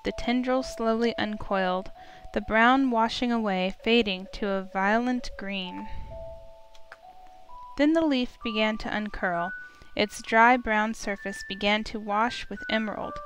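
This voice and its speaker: Female narrator, visual novel. Mid-range voice, scripted storytelling read, melodic mallet music clearly behind. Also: mid-range voice, a little slow, fairly bright tone.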